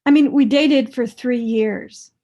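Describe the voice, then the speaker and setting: A woman playing a blunt, resentful young ex-girlfriend with a deadpan, pressing manner, in a comedy table read. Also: a little high, takes it slowly, lo-fi sound. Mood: blunt, wounded.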